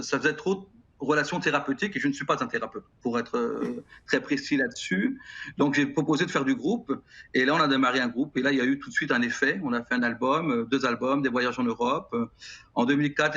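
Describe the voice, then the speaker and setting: French guy in his 40s or 50s, french accent, halting and earnest, husky bright voice, bit muffled sound. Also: mid-range voice. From France. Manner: earnest, halting, many repeated words. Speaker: guest, music educator. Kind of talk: TV interview answers. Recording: slightly dull broadcast sound.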